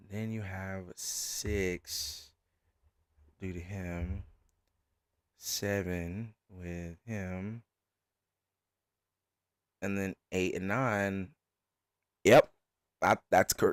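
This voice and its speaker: A man doing casual streamer reaction commentary, halting and often repeating himself. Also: low voice, steady tone, no echo, clean studio sound. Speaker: streamer reacting to a fan dub.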